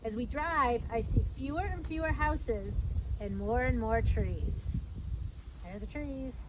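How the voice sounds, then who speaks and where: A middle-aged woman with a high voice reads a picture book to small children. She tells it simply and breaks off for short explanations. The recording is made outdoors, with clearly audible noise around her. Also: a little slow. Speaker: storytime reader, parks staff. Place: outdoors at an open trailhead by a fence.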